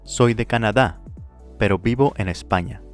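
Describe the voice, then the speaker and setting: A man teaching a Spanish lesson, modeling phrases clearly and slowly for the listener to repeat. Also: lowish voice, soft background music. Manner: clear, slow model pronunciation with pauses to repeat. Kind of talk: language lesson with drills.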